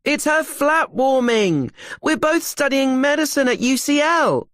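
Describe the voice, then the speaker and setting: A man voicing a drunk, giggly young woman student yelling excitedly in an audiobook. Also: very high voice, unhurried, dry recording, clean studio sound, pitched above the performer's own voice, exaggerated voice. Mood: drunken excitement. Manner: whooping, yelling over noise.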